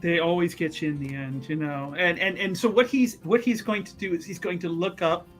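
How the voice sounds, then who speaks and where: A man playing a tabletop roleplaying game, casually improvising his character's actions in the third person like an animated storyteller. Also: high voice, a little fast, faint music behind.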